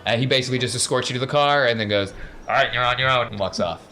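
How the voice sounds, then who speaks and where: A man's voice: medium pitch, rapid-fire, sharp tone, a little hiss or hum. A man narrating a tabletop role-playing game as game master, in a casual, jokey way.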